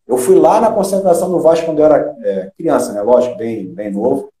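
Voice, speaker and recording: A middle-aged man from Rio de Janeiro with a Carioca accent, a former footballer chatting as an interview guest, halting with many restarts and fillers. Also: on the high side, warm tone. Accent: Carioca Brazilian Portuguese. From Rio de Janeiro, Brazil. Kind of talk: casual interview chat. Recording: echoey room.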